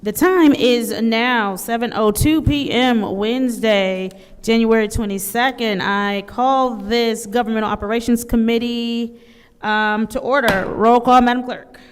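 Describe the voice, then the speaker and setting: Measured woman chairing a council meeting. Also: a little high, fairly even intonation, slight background noise. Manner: measured, procedural reading. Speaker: committee chair. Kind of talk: formal meeting procedure. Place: city council chambers. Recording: long hall reverb.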